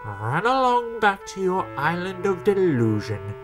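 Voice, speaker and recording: A man's voice: high-pitched, very animated, unhurried, music in the background, pitched above the performer's own voice. A man voicing a pompous, sneering, sulky big diesel engine in a children's story, with a haughty, mocking manner. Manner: haughty, mocking, spluttering when thwarted.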